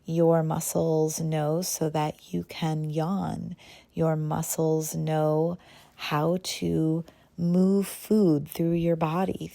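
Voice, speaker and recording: A woman hosts a guided visualization in a low-set, mellow voice with plenty of creak at the edges. She moves gently through short phrases, leaving pauses between them. The delivery is that of soothing meditation narration.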